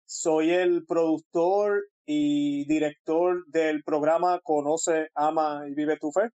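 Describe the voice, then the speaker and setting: A man with a Puerto Rican accent, a guest speaking in a halting way with frequent pauses over a remote call. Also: fairly high pitch, a little mellow, no echo, lo-fi sound. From Puerto Rico. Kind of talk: casual interview talk.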